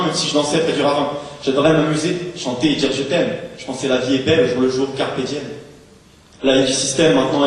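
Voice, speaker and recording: A man performs slam poetry in a big reverberant hall, heard from some distance. He delivers rhymes very quickly and rhythmically, declaiming as an embittered, washed-up homeless drinker. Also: mid-range voice. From France. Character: embittered, washed-up homeless street drinker remembering his youth. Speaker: slam poet. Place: large theatre hall, live on stage. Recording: echoey hall, distant mic, faint noise.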